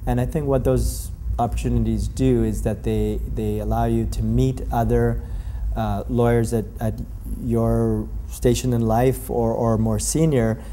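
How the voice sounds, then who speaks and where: A man's voice: medium pitch, little pitch movement, unhurried, warm tone, slight background noise. A man in his forties, a lawyer from Canada, giving a thoughtful interview answer and often repeating words as he searches for the right phrasing.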